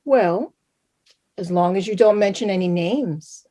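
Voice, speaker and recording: A woman's voice: mid-range voice, a little slow, dark tone, close to an everyday voice. A woman playing a doting, dramatic Salvadoran mother in her thirties in a staged reading, with an exclamatory, chiding manner. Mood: proud. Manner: exclamatory, chiding, mixes Spanish into English. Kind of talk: live staged play reading.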